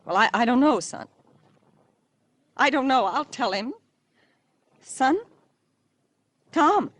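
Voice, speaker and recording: Imperious, evasive American housewife in middle age; highly animated, dramatic delivery for a vintage radio crime show. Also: female voice, slow, no echo, heavily acted. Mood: flustered, urgent. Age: middle-aged. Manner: haughty, dramatic, clipped. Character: haughty, impatient middle-aged wife, scornful and evasive. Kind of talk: 1940s-50s American radio drama acting.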